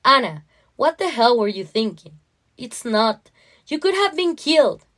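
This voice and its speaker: Woman acting an anxious, scolding mother, sharp and raised. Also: fairly high pitch, very animated, measured pace, voice pushed up, heavily acted. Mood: alarmed, scolding. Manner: sharp, strained. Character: anxious, overbearing mother scolding her teenage daughter.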